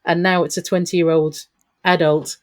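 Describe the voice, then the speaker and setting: Middle-aged English businesswoman, deep voice, relaxed chatty guest who laughs a lot and trips over her starts. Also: moderate pace. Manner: chatty, laughs often, many restarts. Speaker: company founder, guest. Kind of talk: casual interview chat.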